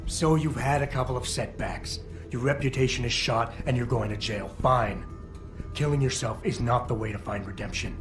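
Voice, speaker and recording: Actor as a stern, blunt hero over music. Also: male voice, mid-range voice, moderate pace, natural voice. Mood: blunt, scolding. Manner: terse, confrontational, controlled. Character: tough, blunt male game hero, stern and unyielding. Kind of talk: dramatic video game voice acting.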